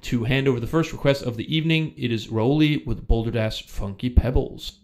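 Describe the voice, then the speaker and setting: Casual male stream host with some vocal fry, talking off the cuff and interrupting himself. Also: medium pitch, a little fast, soft background music. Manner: rambling, self-interrupting, chatty. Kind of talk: casual live-stream chat. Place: room in Germany. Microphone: studio microphone without pop filter.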